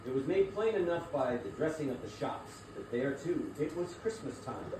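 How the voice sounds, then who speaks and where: A man's voice: fairly high pitch, big pitch swings, normal speed, background noise. A man narrating a scripted story as a dramatic reading. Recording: very echoey hall, distant mic, music behind.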